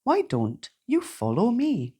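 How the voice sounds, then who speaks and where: A helpful, kindly owl from a storybook, as a woman reader puts it on. It speaks slowly and expressively, offering comfort. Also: on the high side, wildly swooping pitch, warm tone, slightly rough, voice pushed up, heavily acted.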